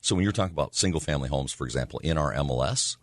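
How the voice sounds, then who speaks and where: Very deep raspy man. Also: animated, very fast, no room sound, clean studio sound. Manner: rambling, with many fillers. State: hoarse from yelling. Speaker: real estate agent. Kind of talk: casual radio talk.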